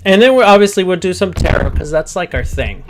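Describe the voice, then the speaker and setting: A man's voice: sits high, sing-song, a little fast, music in the background. A man with a slight Spanish accent, a Spanish native speaker, hosting a casual livestream chat as a chatty, giggly storyteller. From United States. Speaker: host.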